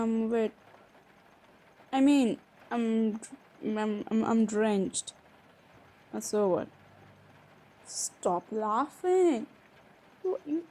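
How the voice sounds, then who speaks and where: A woman performs a shy, easily flustered young woman in an intimate romantic audio drama. Her voice sits a little high and is soft-edged and mellow. She speaks very slowly, stumbling and repeating words, over audible ambient noise. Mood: nervous, flustered. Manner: hesitant, stammering, breaking off and repeating words.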